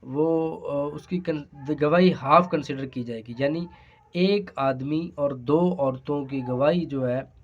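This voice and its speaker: A man's voice: fairly high pitch, slow pace. A man with a Pakistani accent giving an explanatory law lesson, speaking hesitantly and repeating his phrases. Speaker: law lecturer. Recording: dull, lo-fi sound.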